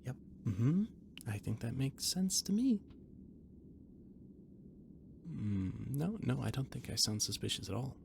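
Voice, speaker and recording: A man's voice: medium pitch, very animated, normal speed, gravelly, a little mellow. A man playing a flustered, rambling catboy who cooks aboard a spaceship, hesitant and stammering, in an intimate roleplay audio drama. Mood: flustered, evasive. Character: flustered, rambling catboy ship's cook and mechanic, eager friend. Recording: noticeable background noise and ambience.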